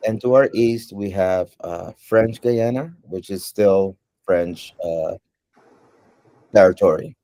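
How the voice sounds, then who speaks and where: A man from Suriname, Dutch his first language, talks slowly with a dark, mellow, fairly low voice. He pauses often and fills the gaps with ums. The sound is close and dry. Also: no room sound. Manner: unhurried, many ums and pauses. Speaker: interviewee. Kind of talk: casual interview answers.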